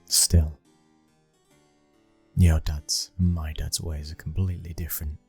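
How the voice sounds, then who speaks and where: A British man playing a brooding, dominant young mafia boss's son in an intimate ASMR roleplay, speaking with slow, commanding control. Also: a bass voice, little pitch movement, warm tone, slightly rough, soft background music, full character voice. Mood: guarded, frank.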